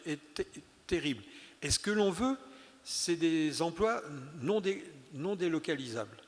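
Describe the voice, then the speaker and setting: A man giving a round-table speech as an elected leader, emphatic, with many restarts and hesitations. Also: fairly high pitch. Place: conference hall. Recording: long hall reverb.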